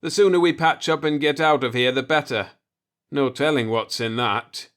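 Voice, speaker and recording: Man playing cocky space mechanic, raised pitch. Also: normal speed, fairly bright tone, clear, resonant tone, natural voice. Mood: brisk. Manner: clipped, slangy, wisecracking. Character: cocky, plucky male spaceship mechanic, wry and slangy. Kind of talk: storybook dialogue in a sci-fi audiobook.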